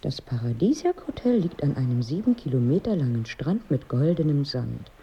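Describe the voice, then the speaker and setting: An actress in a radio drama playing a wry, frustrated wife who needles and broods aloud. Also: female voice, deep voice, very animated, moderate pace, dull audio, a little hiss or hum. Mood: wry grumbling. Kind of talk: radio drama dialogue and monologue.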